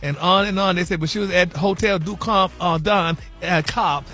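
A man playing a sassy, high-voiced gossip diva. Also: normal speed, sharp tone, soft background music. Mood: gossipy. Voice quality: flamboyant, sassy, calls listeners honey and baby. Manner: drawling, breaks into giggles. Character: sassy campy gossip persona, male host. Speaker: celebrity gossip segment regular. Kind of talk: campy gossip chat on morning radio.

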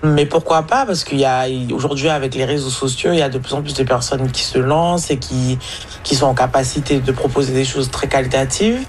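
A woman's voice: a bass voice, steady tone, fairly quick, a little hiss or hum. A woman comedian from French Guiana answering interview questions, thoughtful and hesitant.

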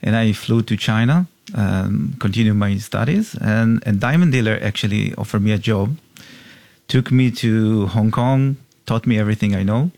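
A middle-aged man from Israel answers an interviewer's questions. His delivery is halting and plain, full of small fillers, and his voice has a gravelly texture. The sound is dry and close. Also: fairly low pitch, darkish tone, no echo. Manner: halting, simple phrasing with fillers. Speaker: diamond company co-founder.

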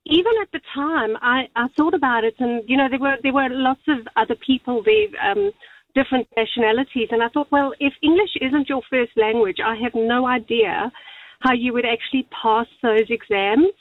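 A woman calling a radio show, calmly giving polite, measured feedback. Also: high voice, dry recording. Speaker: caller. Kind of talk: talkback call. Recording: tinny phone line.

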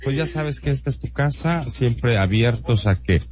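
A man's voice: mid-range voice, lively intonation, moderate pace, darkish tone. A man with a Mexican accent hosting a casual radio interview in a chatty, courteous way. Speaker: radio show host. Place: busy cultural fair, live remote broadcast. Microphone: interview microphone with headphones. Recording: dull lo-fi sound with music behind.

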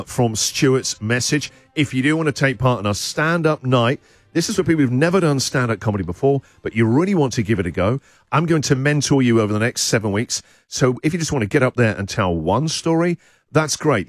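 A man's voice: medium pitch, no room sound, studio quality. A middle-aged male radio host, playful and giggly, reading out listener puns in comic banter.

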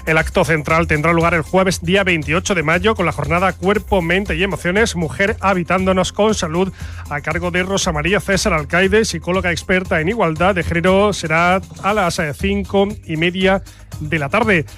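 A man's voice: high voice, steady tone, normal speed, a bit bright, faint music behind. A man, presenting local radio news from a script.

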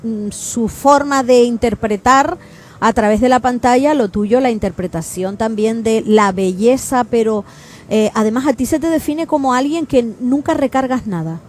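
Woman radio host, lively and talkative, slightly high voice. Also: moderate pace. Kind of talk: radio interview.

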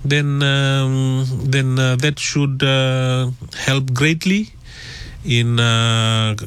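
A man's voice: medium pitch, fairly even intonation, drawn-out words, clear, resonant tone. A middle-aged man from Fiji, a guest talking in a radio interview, hesitant and full of fillers and restarts. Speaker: guest author.

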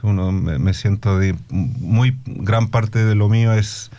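A middle-aged man with a Chilean accent tinged with Australian English. His voice is dark, mellow and fairly low. He answers reflectively as an interviewee, often hesitating for the right word and mixing in English. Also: slow pace. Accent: Chilean Spanish with Australian English influence. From Australia, Chilean immigrant family. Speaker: musician and music educator being interviewed.

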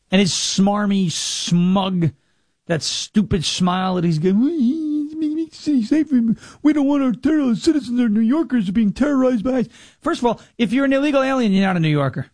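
A man's voice: sits high, brisk, a little mellow, dry recording. A man from Long Island hosting a talk radio show, ranting with sarcastic, indignant commentary. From New York, Long Island. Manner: sarcastic, indignant, mocking impressions. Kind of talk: political talk radio monologue.

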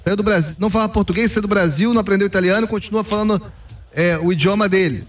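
A man's voice: high-pitched, quick pace, faint music behind. A man talking football as a pundit in casual sports talk, opinionated and emphatic. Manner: opinionated, emphatic, repeats "cara".

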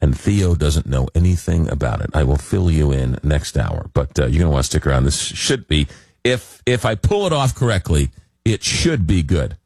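Deep-voiced male late-night radio host, rambling and halting, a little under the weather, dry studio sound. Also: sing-song, darkish tone, breathy edge, no room sound. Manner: rambling, hesitant, many fillers. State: recovering from a cold. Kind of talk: casual radio talk.

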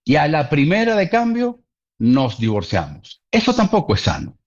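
A man's voice: fairly high pitch, huge pitch swings, normal speed, lo-fi sound. A man talking earnestly about relationships in a casual conversation, repeating key points for emphasis.

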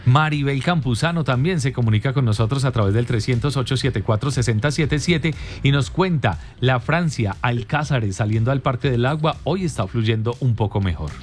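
A man co-hosting a morning radio show, reading traffic reports and ads in a steady, clear way. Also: medium pitch, moderate pace, soft background music. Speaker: radio co-host.

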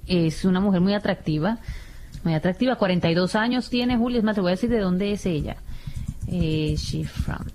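A woman's voice: fairly low pitch, moderate pace, darkish tone, slight background noise. A woman chatting informally and frankly as a radio panelist, dropping bits of English into her talk. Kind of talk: casual radio chat.

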